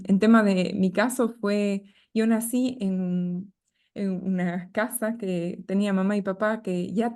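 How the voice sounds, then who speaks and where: An Ecuadorian-accented woman in her thirties speaks as a guest on a podcast, her voice mellow and warm. She is reflective and gentle, repeating small words as she searches for the next thought. Her pitch stays in a middle range for a woman. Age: 30s. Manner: reflective, gentle, with hesitant repetitions. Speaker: guest nutritionist. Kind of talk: conversational podcast interview.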